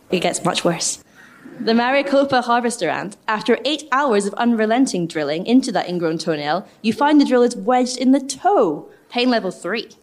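A woman's voice: medium pitch, a little mellow. A woman reading aloud dramatically as a podcast panellist.

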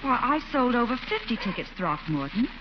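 A proper, coy lady from a 1940s radio comedy. She speaks in genteel, gently chiding phrases with animated pitch. The old recording is dull and noisy. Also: fairly quick, a crowd in the background. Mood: firm, reproving. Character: proper, coy, firm lady friend, adult.

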